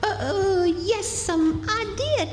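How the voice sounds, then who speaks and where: A young runaway boy as an actor plays him in a radio play, with a very high voice and rustic Southern American speech. He is evasive and folksy, heard in an echoey hall with music. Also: animated, slow, heavily acted. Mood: nervous, evasive. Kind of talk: live radio drama acting.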